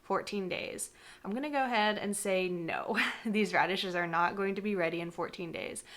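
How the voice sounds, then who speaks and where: A young woman talks casually to her viewers, sounding a little stuffed up from a cold. She explains things in an easygoing, chatty way, dotted with "um" and "like", and her pitch sits right in the middle for a woman. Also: moderate pace, fairly bright tone. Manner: chatty, frequent "um" and "like", conversational. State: congested from a cold. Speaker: gardening vlogger. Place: indoors, with some segments recorded outdoors in a garden.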